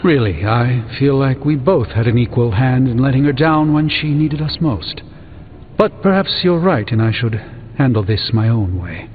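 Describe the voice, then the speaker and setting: An actor playing a thoughtful, weary sorcerer mentor in a video game, speaking in a measured, eloquent way. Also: male voice, mid-range voice, animated, natural voice. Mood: troubled, resigned. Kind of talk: video game character dialogue. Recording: muffled phone-like band, long reverb, music behind.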